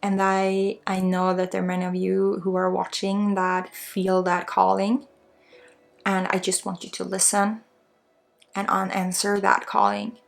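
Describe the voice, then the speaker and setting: A woman with a Swedish accent guides an ASMR energy healing, soft-spoken and reassuring as a spiritual guide. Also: medium pitch, completely flat delivery, unhurried, creaky. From Sweden. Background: music.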